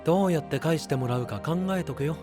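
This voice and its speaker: An actor playing a cool, observant young comrade with a casual, lightly teasing way in an anime voice drama. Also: male voice, a little high, quick pace, over music, close to an everyday voice. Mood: wry, casual.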